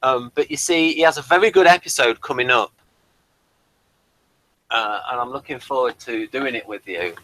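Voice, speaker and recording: A man's voice: medium pitch, normal speed, long pauses, sharp tone, low-quality recording. A man co-hosting a casual episode review, rambling and repeating himself as he talks. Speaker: co-host of a TV review show.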